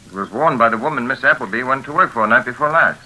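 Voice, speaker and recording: An actor playing a determined young fiancé in a 1940s radio thriller, courteous, earnest and firm. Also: male voice, medium pitch, fast talker, sharp tone, low-quality recording. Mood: polite, probing. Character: determined, polite young fiancé come to the rescue. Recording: sizzling old radio broadcast.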